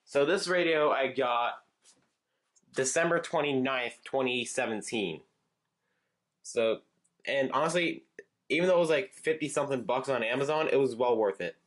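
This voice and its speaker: A man's voice: medium pitch, fairly bright tone. Rambling young man showing off gadgets. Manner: rambling, halting, with frequent fillers and restarts. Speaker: channel host showing weather radios. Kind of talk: casual show-and-tell chat.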